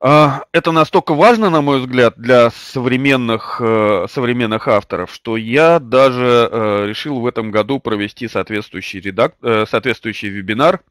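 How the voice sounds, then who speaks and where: An older man hosting a webinar lecture. He has a slight cold and fills his talk with many drawn-out hesitations. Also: mid-range voice, a bit bright, no echo, studio quality. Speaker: webinar host and publishing trainer. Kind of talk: webinar lecture with personal anecdote. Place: small indoor room. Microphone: webcam or desk mic at a computer.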